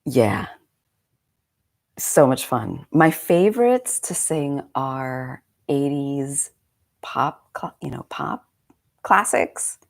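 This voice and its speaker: A woman's voice: very deep voice, animated, very slow, gravelly. A woman in her forties, a meditation coach, chatting casually on a live stream, slightly congested and rambling through friendly asides. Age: 40s.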